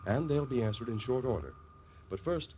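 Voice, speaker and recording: A man's voice: medium pitch, expressive, normal speed, mellow. A male announcer narrating a 1950s radio drama in a scripted style. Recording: dull, hissy vintage radio recording.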